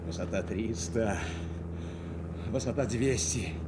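An actor playing a devoted military aircraft navigator in an audio drama, giving clipped commands and reassurances. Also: male voice, on the low side, takes it slowly. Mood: focused, controlled. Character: military aircraft navigator guiding his wounded commander to land, urgent and devoted. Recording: loud engine noise behind the voice.

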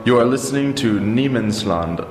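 A man's voice: medium pitch, animated, normal speed. A man announcing a radio show and station in a jingle style. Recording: echoey announcement over loud guitar music. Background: loud plucked guitar music.

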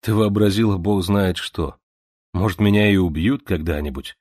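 A man's voice: deep voice, moderate pace, no room sound, studio quality, natural voice. A man doing a lazy ironic rebel. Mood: gentle. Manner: drawling, mocking, then gentle. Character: weary ironic male revolutionary, lazy drawl.

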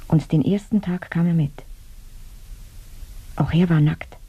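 A woman acting in a German radio play as a grieving, sensual dead woman, murmuring dreamily with a breathy half-whisper. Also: on the low side, steady tone, measured pace, phone-quality sound. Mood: dreamy, sensual.

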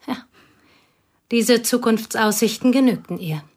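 An actress playing a provincial woman recalling an old school friend, speaking quietly and wistfully in a radio drama. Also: a little high, measured pace. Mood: bitter. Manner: quiet, wistful, partly whispered.

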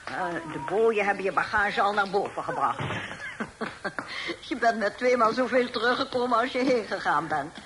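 A caring mother of a grown son, played by an actress in a radio play, speaking with lively intonation. She is soothing but gently firm, on an old recording with light background noise. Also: medium pitch, normal speed, close to an everyday voice. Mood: caring, pleased. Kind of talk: Dutch radio play acting.

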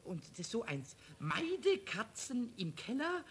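A man voicing a cheeky, childlike little kobold in a 1970s German children's radio play, stammering and repeating words excitedly. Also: very high voice, a little slow, full character voice. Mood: anxious bluffing. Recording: noisy old radio play recording.

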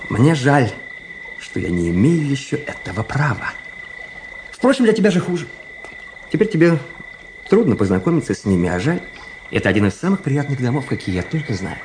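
A man acting an earnest, touchy young cadet in a literary radio play. His pitch leaps about as he speaks, theatrical and self-important. There is faint noise behind the voice. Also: fairly quick, pauses often, close to an everyday voice, poor audio. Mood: reproachful. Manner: theatrical, animated, pointed. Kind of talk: literary radio drama dialogue.

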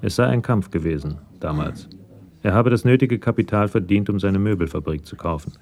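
A man narrating a scripted crime radio play in a measured, matter-of-fact storytelling manner. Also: sits low, a little mellow.

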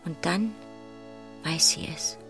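An actress playing a bleak, ominous female chorus narrator in a radio drama, hushed and clipped. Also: fairly low pitch, slow pace, soft background music.

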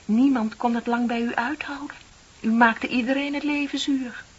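An actress playing a bitter, composed office clerk in her thirties in a radio play, speaking in a deliberate, pointed, theatrical way. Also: fairly high pitch, fairly even intonation, breathy edge. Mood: accusing. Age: middle-aged. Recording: dull, hissy old radio play recording.

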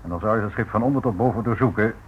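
An actor playing a cautious, firm police chief commissioner in a radio drama, matter-of-fact and authoritative. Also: male voice, fairly low pitch, racing, close to an everyday voice. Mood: sceptical. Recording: dull, noisy old radio play recording.

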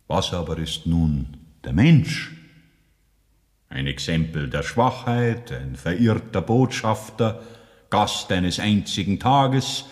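An actor playing a preacher who delivers a solemn, rhetorical sermon in an old radio play. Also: male voice, deep voice. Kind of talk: 1960s radio play acting. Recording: long hall reverb.

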